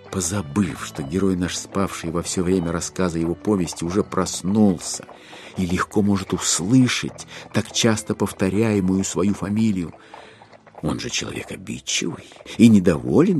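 A man narrating a literary audio play in a theatrical, rhetorical and expressive manner. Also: low voice.

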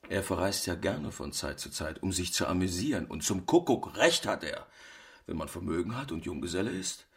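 A man's voice: low voice, expressive, normal speed, vocal fry, breathy edge, full character voice. A man playing a good-natured, unsuspecting husband in a radio drama, chatty and homely in manner. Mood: casual chatting.

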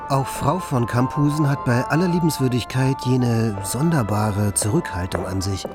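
A man performing a reflective, wry commentator in a literary radio play, with measured, pointed phrasing. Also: medium pitch, loud music behind the voice, close to an everyday voice. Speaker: second narrator.

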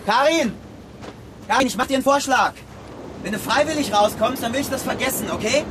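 Radio drama villain: mocking, colloquial criminal, sneering menace, noisy ambient setting. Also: male voice, very high voice, fairly quick. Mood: taunting, coaxing. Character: menacing, mocking male criminal, crude and taunting.

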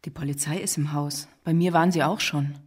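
An actress playing a frank, concerned university colleague in a naturalistic radio play, casual and direct, with a husky voice. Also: female voice, low voice, fairly even intonation, fairly quick, background noise, lightly acted. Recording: slightly distant from the mic.

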